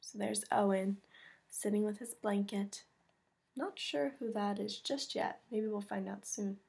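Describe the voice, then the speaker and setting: Female American teacher, mid-range voice, expressive picture-book read-aloud with simple asides for kids. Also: medium pitch, unhurried, gravelly. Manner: expressive, explains things simply for children. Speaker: teacher reading a picture book to her class. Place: small room at home with bookshelves.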